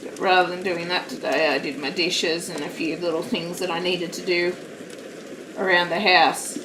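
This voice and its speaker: A woman with a naturally loud, slightly low voice chats in a rambling, self-deprecating way while she works on a craft at home. She sits back from the mic in a roomy space, and a steady noise runs underneath. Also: moderate pace, recorded from a distance. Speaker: live-streaming craft hobbyist. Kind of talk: casual chat while crafting.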